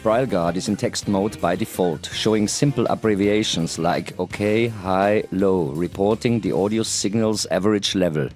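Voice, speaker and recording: A middle-aged man gives a formal, deliberate scripted presentation into a headset mic in a small studio. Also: sits low, darkish tone, soft background music. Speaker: presenter, audio educator. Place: small home studio, indoors.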